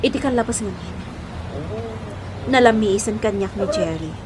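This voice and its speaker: A woman's voice: medium pitch, normal speed, noisy background, natural voice. A woman playing a troubled, trusting young woman in an Ilocano radio drama, with an Ilocano accent, on an old recording. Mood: questioning, suspicious. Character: troubled, trusting young woman worried about her boyfriend, Meli. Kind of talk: Philippine radio drama acting.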